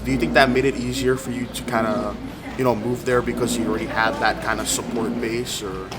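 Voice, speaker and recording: A woman's voice: very deep voice, steady tone, fairly quick, heavy background noise. A woman interviewing people as a field reporter in a friendly way, with fillers. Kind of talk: casual interview questions.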